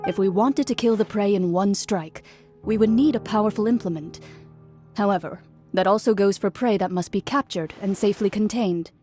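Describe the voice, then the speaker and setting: Woman playing a mellow, composed young strategist. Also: medium pitch, soft background music, close to an everyday voice. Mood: cool, composed. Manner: measured, articulate. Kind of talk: video game voice acting.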